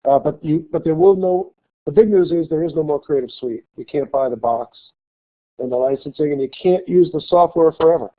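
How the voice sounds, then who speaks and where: A male college instructor giving a classroom lecture with a slight cold, talking haltingly with many fillers and restarts. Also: a little high. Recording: tinny phone-like lecture recording.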